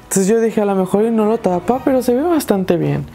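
A woman's voice: mid-range voice, lively intonation, fairly quick, creaky, mellow. A young woman with a Mexican Spanish accent hosting a craft tutorial, chatting and encouraging viewers as she works. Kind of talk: casual DIY tutorial talk.

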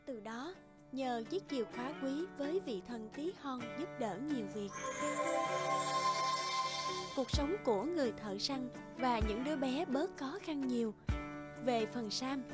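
A woman's voice: high-pitched, animated, a little slow, pauses often. A woman narrator reading a scripted fairy tale in a storytelling style. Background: music.

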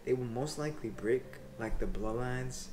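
A man's voice: mid-range voice, normal speed, darkish tone, faint music behind. A young man, a sneaker resale vlogger, talking casually to camera with loose slang and lots of "like" and "bro", seated in a small room. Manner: laid-back slang, fillers like "like" and "bro". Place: small indoor room in front of window blinds.

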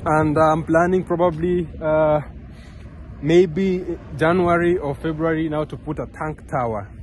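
A man's voice: a little high, fairly even intonation, unhurried, a little hiss or hum. Earnest young man vlogging outdoors. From Kenya. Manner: earnest, rambling, with many restarts and fillers. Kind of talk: casual vlog talk to camera. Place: outdoors on a park path beside a road. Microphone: phone camera held close.

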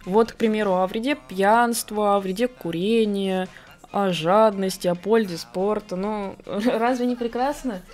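A woman's voice: fairly even intonation, a little mellow, faint music behind. A young woman chatting casually to camera as an artist and animation vlogger, recorded on a desk microphone in a home room with computers. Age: young adult. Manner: bubbly, chatty, pitch jumps up often, quick asides and laughs.

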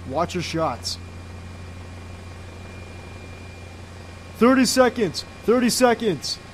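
Casting: male actor as young male agent team leader and loyal boyfriend, clipped military delivery, slightly higher register, audio roleplay with faint background noise. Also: very animated, measured pace. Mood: urgent, commanding. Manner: clipped military radio commands. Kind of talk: ASMR audio roleplay drama acting.

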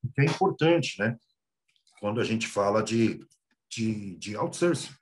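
A Brazilian man gives a business talk from a home office over a video call. His pitch moves a great deal, and he often repeats small words while he finds the next point. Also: a little slow. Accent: Brazilian Portuguese. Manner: lively, repeats small words, clears throat. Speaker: guest speaker.

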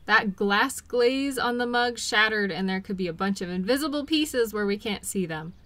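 A woman playing a caring, patient girlfriend in an intimate role-play audio, talking gently and soothingly to her partner. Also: mid-range voice, expressive, normal speed, a little hiss or hum, natural voice. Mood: reassuring, light. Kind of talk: intimate one-sided role-play acting.

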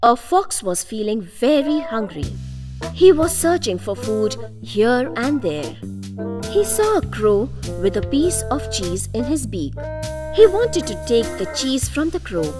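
Lively high-voiced woman storyteller. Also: unhurried, music in the background. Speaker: story narrator. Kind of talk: scripted children's story narration.

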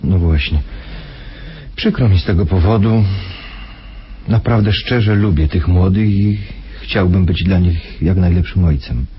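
An actor playing a polite, evasive master of the house in a radio drama, a husky-voiced husband speaking with measured regret. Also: male voice, low voice, a little hiss or hum. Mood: regretful. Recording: dull, muffled radio drama recording.

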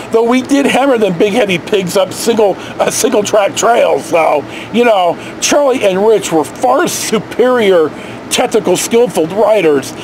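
A man's voice: sits high, noisy background. A middle-aged man with an American accent, rambling through a casual personal story to camera. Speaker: storyteller vlogger. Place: inside a motorhome.

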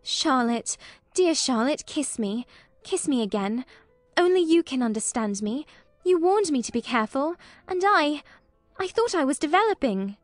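A woman's voice: sits high, lively intonation, moderate pace, no echo, soft background music, pitched above the performer's own voice. A woman reading an audiobook, voicing an emotional, earnest young woman who pleads breathlessly. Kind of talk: audiobook character voice.

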